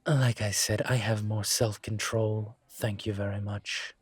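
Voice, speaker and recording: A man acts out a composed incubus investigator for a roleplay audio drama. His voice sits fairly low and stays very even, with unhurried, deliberate phrasing. He is politely self-assured and dryly wry, recorded close and dry. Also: breathy edge, no echo. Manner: measured, deliberate, wry, conversational. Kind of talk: scripted one-sided roleplay audio drama.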